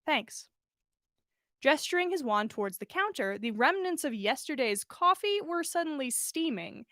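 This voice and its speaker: High-voiced woman reading a story aloud. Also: normal speed, dry recording, studio quality. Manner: animated storyteller, acts out dialogue. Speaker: podcast host reading listener fiction. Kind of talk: expressive story narration, livestream read-aloud.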